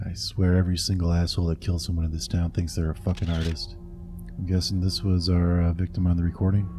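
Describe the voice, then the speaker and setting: An actor playing a blunt, profane lead detective giving orders in an audio drama. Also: male voice, sits low, flat delivery, quick pace, close to an everyday voice. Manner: blunt, swearing, commanding.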